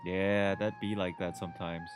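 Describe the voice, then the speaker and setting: A man's voice: low voice, level tone, normal speed. A man giving casual, slangy, reactive commentary as a gaming streamer during a playthrough. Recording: game music behind the voice.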